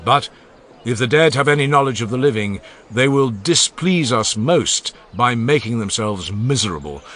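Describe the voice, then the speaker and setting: A grave, eloquent old philosopher declaims a solemn funeral-style oration in a dramatized audiobook, voiced by a man. His voice is low, and it rises and falls expressively through the long sentences. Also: a bit bright. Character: older male philosopher, grave, eloquent orator. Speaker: Socrates.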